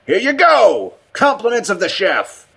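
A man's voice: fairly low pitch, lively intonation, unhurried. A man voicing an unhinged academic in a story, emphatic and oddly flippant in manner. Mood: manic showmanship. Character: unhinged male academic, pleading turning eerily flippant.

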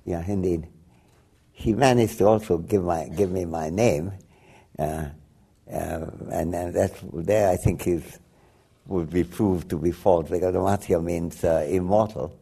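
An older man, a native Bengali speaker from Bangladesh, talking reflectively as an interview guest, often restarting and laughing softly. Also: sits low, unhurried, vocal fry, breathy edge. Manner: rambling with frequent restarts and soft laughs. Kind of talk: reflective conversation.

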